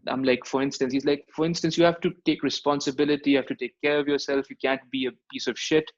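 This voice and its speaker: Fast joking Pakistani man on a call. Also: mid-range voice, little pitch movement. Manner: joking, rapid talk mixing English and Urdu. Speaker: podcast guest. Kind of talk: casual video-call interview. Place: room at home, indoors. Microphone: headset on a video call. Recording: dull lo-fi call audio.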